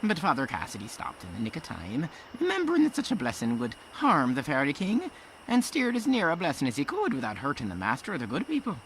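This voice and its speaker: A man playing a folksy fireside storyteller with an Irish accent and a creaky voice, narrating a tale. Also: fairly high pitch, wildly swooping pitch, fast, fairly bright tone, a little hiss or hum, low-quality recording. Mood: storytelling. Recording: music underneath.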